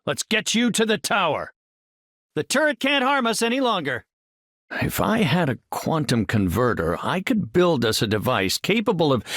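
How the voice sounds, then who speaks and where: An actor playing a brilliant, composed superhero scientist in a video game, with articulate, earnest, scholarly delivery. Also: male voice, a little high, fairly bright tone, no echo, studio quality, full character voice. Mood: confident, determined. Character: brilliant, composed male superhero scientist leading his team. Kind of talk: video game character voice lines.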